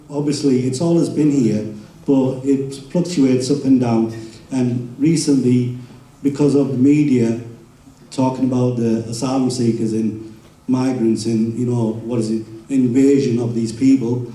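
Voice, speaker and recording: A middle-aged man from Kenya giving a relaxed, informal talk at a community event, with frequent ums and uhs. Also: mid-range voice, echoey room, far from the mic, low-quality recording. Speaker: community speaker.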